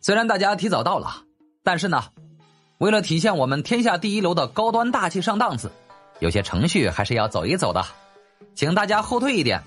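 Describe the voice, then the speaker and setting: 一位男演播者在有声书中演绎一位自信又爱显摆、待客殷勤有礼的年轻贵公子。. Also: male voice, sits high, sing-song, brisk, fairly bright tone, soft background music, pitched above the performer's own voice, heavily acted. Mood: playful, showy. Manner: showy, courteous, cajoling. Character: cocky smiling young nobleman playing the gracious host. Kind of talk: audiobook character voice.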